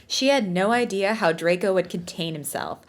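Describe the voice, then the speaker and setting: A woman's voice: medium pitch, moderate pace. A woman co-hosting a comedy podcast, reading fan fiction aloud and chatting casually with playful, wry asides.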